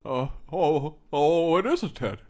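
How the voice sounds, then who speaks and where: A hesitant comic president played by a man, folksy and exasperated. His slightly high voice swings widely in pitch as he stumbles and repeats himself. He sounds like a weary leader in an audio comedy. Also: lively intonation, a little slow, a little mellow. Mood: flustered. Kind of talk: comic audio drama acting.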